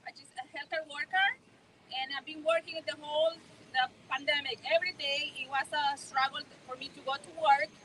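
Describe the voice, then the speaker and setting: A woman's voice: sits high, fairly even intonation, a little fast, pushed and bright, slight background noise, poor audio. A woman from El Salvador, speaking earnestly at an outdoor press conference and often restarting her sentences. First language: Spanish. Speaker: healthcare worker, TPS holder speaking. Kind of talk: impassioned press conference speech.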